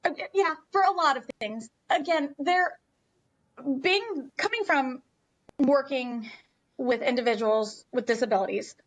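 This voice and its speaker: A woman's voice: sits high, measured pace, rough recording. An American woman telling a personal story as an interview guest in a candid, emphatic, conversational way.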